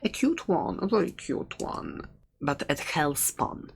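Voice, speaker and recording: A woman's voice: sits low, sing-song, a little slow, husky, full character voice. A woman playing a chatty, quirky dragon egg seller in an ASMR roleplay, talking in a hesitant, playful, conversational way close to the microphone. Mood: mock-serious. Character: chatty, quirky seller of dragon eggs, fond of dangerous pets. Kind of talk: ASMR roleplay, casual rambling talk.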